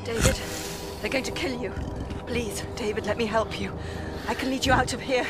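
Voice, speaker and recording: A woman's voice: fairly high pitch, fairly even intonation, moderate pace, over loud music, lightly acted. A woman playing a young nurse, loving and desperately pleading, in a radio horror drama. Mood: tender, pleading. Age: young adult.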